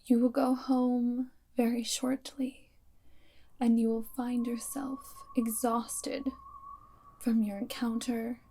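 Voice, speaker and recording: An aloof vampire girlfriend and Resistance spy played by a woman gives hypnotic orders in a breathy, half-whispered ASMR voice. Her tone is high but mellow and held very even, with deliberate phrasing. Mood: hypnotic, commanding. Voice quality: soft, breathy, often whispered. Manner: slow, deliberate, hypnotic instructing. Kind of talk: ASMR roleplay.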